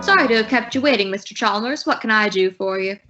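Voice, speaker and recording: A woman's voice: mid-range voice, fast, over loud music, natural voice. A woman playing a courteous school superintendent in an old-time radio comedy. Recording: dull lo-fi audio, some noise.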